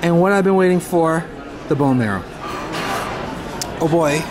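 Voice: mid-range voice, animated, measured pace, crowd noise, noisy background. A travel vlogger chatting casually and enthusiastically while showing the surroundings. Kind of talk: casual walk-around travel commentary.

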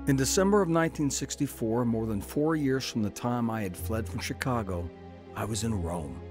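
A man's voice: medium pitch, moderate pace, over music. A middle-aged man telling his own story in earnest first-person narration for a radio drama. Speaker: narrator and lead.

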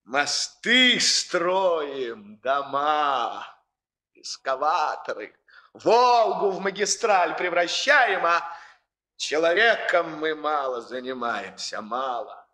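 A man's voice: a little high, expressive, slow pace, sharp tone, full character voice. A man acting in a 1960s radio play as a stern, moralizing boss, hectoring and emphatic as he scolds the young. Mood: indignant preaching.

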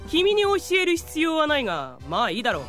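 A woman playing a pompous, boastful rich male high school student with a haughty, theatrical delivery in an audio drama. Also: wildly swooping pitch, fast, music in the background. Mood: smug. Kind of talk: Japanese audio drama acting.

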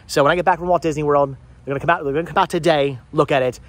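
A man in his thirties vlogging outdoors with the phone at arm's length, talking fast and rambling in an exasperated way, often repeating words. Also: fairly high pitch, steady tone. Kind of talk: frustrated life-update vlog. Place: outdoors in a house yard. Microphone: phone camera held at arm's length.

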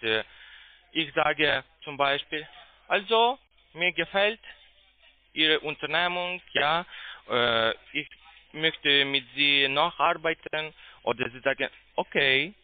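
Halting foreign-accented man on phone line. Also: medium pitch, no echo. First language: not German. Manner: halting, searching for words in broken phrasing. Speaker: phone sales agent pitching investments. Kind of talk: sales call. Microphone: telephone. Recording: tinny, muffled phone line.

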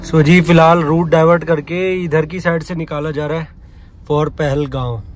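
A man's voice: on the high side, little pitch movement, normal speed, slight background noise. A man vlogging a road trip, chatting casually to viewers while filming outdoors and around a rest house. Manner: chatty, keeps saying "so ji" and "dekh sakte ho". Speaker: travel vlogger. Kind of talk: handheld travel vlog narration.

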